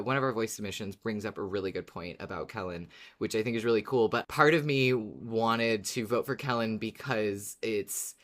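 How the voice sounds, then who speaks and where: A woman co-hosting a casual podcast, speaking in an effusive, informal way with some swearing. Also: very deep voice. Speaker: podcast co-host.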